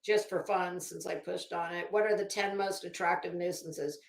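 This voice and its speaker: A woman's voice: lowish voice, fairly even intonation, moderate pace, creaky. A woman livestreamer talking casually and reading aloud, with a blunt, sardonic, salty-tongued manner. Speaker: livestream host. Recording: echoey room.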